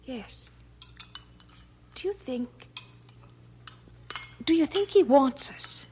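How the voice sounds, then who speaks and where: Young London maid, earnest, questioning, high voice, radio drama, slight background noise. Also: female voice, expressive, extremely slow, heavily acted. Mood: earnest, searching. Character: earnest, restless young London parlour maid, twenty-two.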